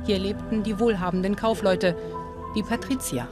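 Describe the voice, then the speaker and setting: A woman narrating a documentary in a calm, measured, informative way. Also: mid-range voice. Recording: voice-over with music behind. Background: music.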